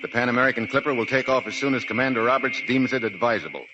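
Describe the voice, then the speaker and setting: An actor playing a grave, steady Marine major in command of a garrison, in 1940s radio drama style. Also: male voice, medium pitch, quick pace, noisy background, natural voice. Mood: firm, grave. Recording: muffled 1940s radio recording.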